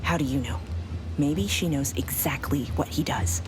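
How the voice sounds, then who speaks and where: A woman acting as a guarded, distrustful agent in a wartime audio drama. Her voice is very low for a woman and her delivery curt and wary, with music behind it. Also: moderate pace, natural voice, low-quality recording. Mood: suspicious, hushed. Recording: music under the dialogue.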